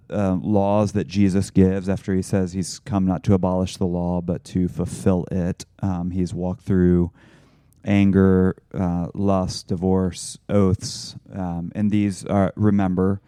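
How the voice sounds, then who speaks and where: A man with a low, very dark voice talks casually as a podcast co-host. He rambles and restarts often, and sounds close and dry on the mic. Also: little pitch movement, slow pace, clear, resonant tone, no echo. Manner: rambling, frequent restarts and fillers, laughs easily. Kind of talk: casual discussion.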